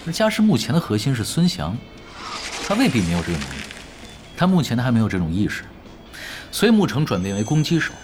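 A man's voice: medium pitch, fast, long pauses. A man playing a laid-back young esports pro in an audio drama, explaining like an expert and teasing in a nonchalant way. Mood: calm, analytical. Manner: relaxed, nonchalant, analytical. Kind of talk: audio drama acting, conversational. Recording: music behind the voice.

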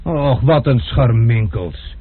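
An actor playing an impulsive, quick-tempered gentleman traveller in a radio play, full of exclamations. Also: medium pitch, slightly rough. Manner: lively, exclaiming, blustery. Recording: muffled lo-fi archive radio play.

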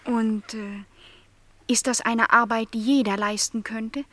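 An actress playing a shy but curious young housemaid in a radio play, speaking hesitantly and very politely. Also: female voice, a little high, fairly even intonation, slow, no room sound. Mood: curious, tentative. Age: young adult.

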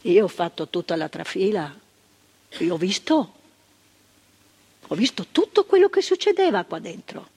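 An indignant old asylum worker. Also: female voice, fairly low pitch, very animated, normal speed, pauses often, slightly rough, full character voice. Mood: indignant recollection. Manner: vivid storytelling, swinging from hushed to emphatic outbursts. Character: older woman, former asylum ward worker, indignant. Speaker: monologue performer. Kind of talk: stage monologue.

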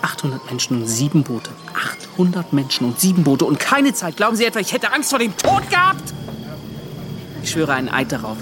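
An actor playing a tormented, defensive ship's officer, recounting in an agitated, pleading and halting way in a radio drama. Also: male voice, fairly high pitch, very animated, music in the background. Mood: defensive, anguished. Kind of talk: radio drama acting, confessional monologue.

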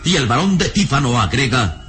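A man's voice: medium pitch, very animated, a little fast, a bit bright. A man narrating a radio serial in a dramatic, scripted storytelling style. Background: music.

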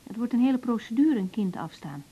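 A woman's voice: fairly high pitch, sing-song, fairly quick, mellow, breathy edge. An actress playing an earnest, wounded young female doctor in a radio drama, emotionally open and introspective. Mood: guarded, irritated. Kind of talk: naturalistic radio drama acting, diary-like monologues. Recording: dull radio recording with music.

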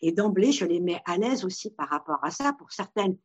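A woman from Morocco, a therapist, answering interview questions in a thoughtful, halting way with many restarts. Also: medium pitch, lively intonation, breathy edge. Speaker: Gestalt therapist. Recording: dull lo-fi sound.

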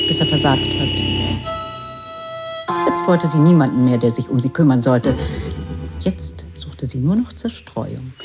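A woman narrating a literary radio drama as a grave storyteller. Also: low-pitched. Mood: grave, brooding. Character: grave female storyteller of a troubled girl's life. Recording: music under the voice.

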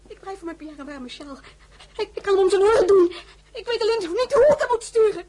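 A woman playing a distraught maidservant and soldier's mother in a radio drama, imploring, halting and tearful. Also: high voice, wildly swooping pitch, a little hiss or hum. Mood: desperate, tearful. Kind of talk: Dutch-language radio drama acting.

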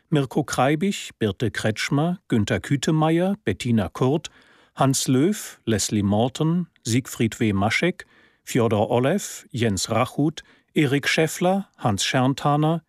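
A man's voice: medium pitch, dry recording, studio quality. A man working as an announcer, reading scripted radio credits in a formal style.

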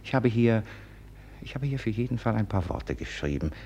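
Casting: tense scheming secretary, very low creaky voice, swinging intonation, noisy 1950s radio recording. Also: male voice, animated, mellow, muffled recording. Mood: furtive, awkward. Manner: tense, halting, insistent. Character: agitated, scheming, irritable male secretary. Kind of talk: 1950s German radio drama acting.

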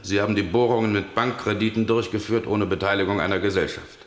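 An actor playing a stern judge in a radio play, questioning in a formal and insistent manner. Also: male voice, lowish voice, fairly even intonation, quick pace, roomy sound, close to an everyday voice. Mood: formal, probing. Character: stern male judge pressing for answers. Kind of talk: German radio play acting.

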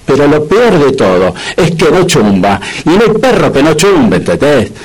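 An actor playing a lonely, melodramatic, grumbling man in a comedic radio play, with a husky Buenos Aires accent and slangy complaints. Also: a little high, big pitch swings, normal speed, poor audio. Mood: gloomy complaining. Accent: Buenos Aires Argentine. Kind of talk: comedic radio drama acting.

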